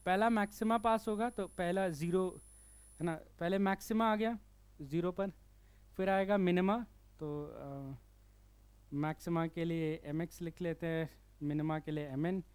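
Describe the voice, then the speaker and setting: A man with an Indian accent and a fairly high, smooth voice teaches physics in an explanatory lesson. He goes step by step and keeps checking in with the listener. The sound is close and dry. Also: a little slow, darkish tone, no room sound. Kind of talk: explanatory problem-solving lesson.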